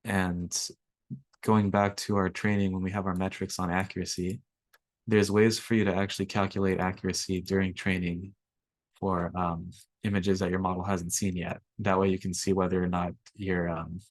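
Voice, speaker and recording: A young man, slightly raspy from allergies, walking through a coding workshop in a relaxed way with lots of ums. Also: deep voice, normal speed, no echo. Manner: relaxed, filler-heavy explaining. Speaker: workshop instructor. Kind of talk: screen-share coding tutorial.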